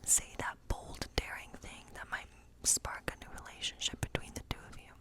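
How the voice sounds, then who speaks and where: A woman whispering softly and breathily in an ASMR role play as a friendly witch, reading tarot in an informal, encouraging, rambling way. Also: moderate pace.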